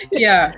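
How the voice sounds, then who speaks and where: A woman's voice: a little high, bright, forceful tone, slightly rough. Hesitant woman author from South Sudan. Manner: hesitant, halting, with many fillers and laughs. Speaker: guest author. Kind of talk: casual interview.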